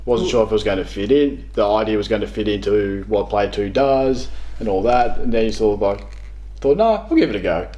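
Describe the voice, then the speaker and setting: A man's voice: fairly low pitch, lively intonation, brisk, warm tone. A man in his thirties with an Australian accent, chatting casually as an interview guest. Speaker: guest, game reviewer. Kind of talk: casual chat about video games. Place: small living room, on a couch.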